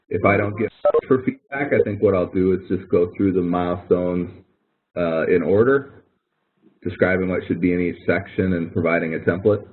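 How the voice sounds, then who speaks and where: A man instructing students in an informal online webinar, explaining in a rambling, hesitant way with repeated words. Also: low voice. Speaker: instructor running a help webinar. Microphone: online webinar audio connection. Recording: narrow, tinny online-call audio.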